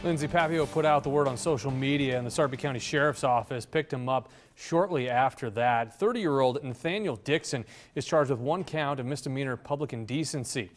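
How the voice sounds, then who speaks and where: A man's voice: medium pitch, fairly quick. An American man giving a scripted TV news report as a reporter in a news center.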